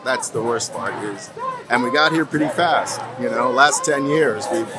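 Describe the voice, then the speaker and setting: Male American protester, halting and exasperated, medium pitch, very loud crowd behind. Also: loud music behind the voice. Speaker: protester interviewee. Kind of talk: unscripted street interview. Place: outdoors at a protest.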